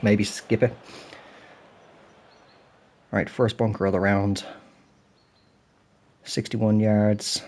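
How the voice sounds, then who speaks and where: A man's voice: on the low side, a little mellow. Chatty British man, slightly stuffed up. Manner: chatty, apologetic, thinking aloud while playing. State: slightly throaty and stuffed up from a cold. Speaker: gaming channel host. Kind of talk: relaxed golf game commentary.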